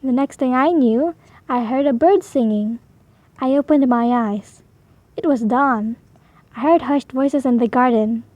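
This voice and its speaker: A woman reading a bedtime story aloud to children in an expressive, animated way. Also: high voice, measured pace, no echo, highs cut off. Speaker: storybook reader.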